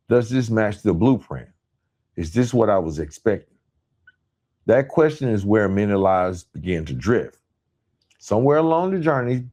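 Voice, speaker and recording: Low, mellow-voiced man giving a motivational talk. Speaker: podcast host. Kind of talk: motivational monologue.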